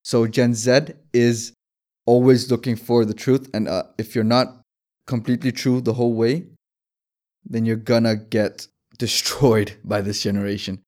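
A man's voice: medium pitch, flat delivery, normal speed, darkish tone. A man in his twenties from Denmark, a startup founder, explaining his views step by step as a guest in an interview. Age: 20s.

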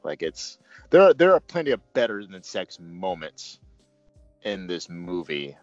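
A man's voice: low-pitched, sing-song, measured pace, no echo, lo-fi sound, faint music behind. A man hosting a casual movie review, talking in a joking, rambling way.

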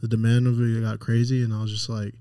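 A man's voice: mid-range voice, monotone, fast talker, warm tone, clean tone, dry recording, studio quality. A young adult man with an American accent, telling casual anecdotes in slangy speech sprinkled with swearing. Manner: slangy, swearing, hesitant restarts with many "like"s.

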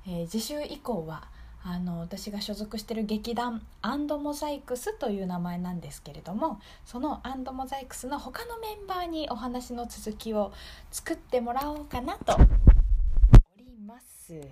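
Lady radio host, high voice, really lively pitch, polite and chatty, casual unscripted explaining. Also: a little hiss or hum.

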